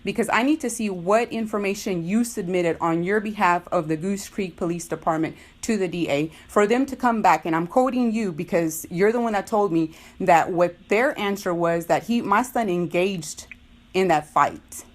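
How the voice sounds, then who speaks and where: A middle-aged woman speaks as a parent lodging a complaint in a phone dispute. She is firm and insistent, often circling back to repeat her point, in a voice of ordinary pitch. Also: moderate pace. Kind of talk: tense phone conversation, disputing.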